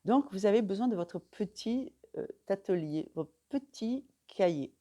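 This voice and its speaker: A woman teaching a religious study method in French, speaking calmly and measuredly, with frequent pauses and fillers. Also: mid-range voice. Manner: calm, measured, pausing often with fillers. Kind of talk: instructional talk to camera.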